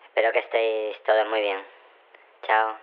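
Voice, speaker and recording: Muffled phone line; male actor as lonely, self-effacing scientist, low voice, rambling and halting, audio fiction. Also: monotone, clean tone, natural voice. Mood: lonely, resigned. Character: lonely, hesitant male cloning scientist, meekly pleading for company.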